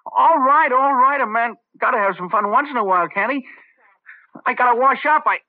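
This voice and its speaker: An actor playing an irritable, hungover, reckless man with a halting, blustery manner in an old-time radio drama. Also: very high voice. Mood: groggy. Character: irritable, hungover, reckless male office worker. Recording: tinny, hissy old radio recording.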